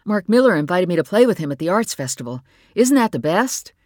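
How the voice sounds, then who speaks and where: A man's voice: fairly high pitch, animated, moderate pace, no room sound, studio quality, natural voice. A woman voicing a sullen, awkward teenage son who sounds dismissive and impatient. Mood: excited. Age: teens.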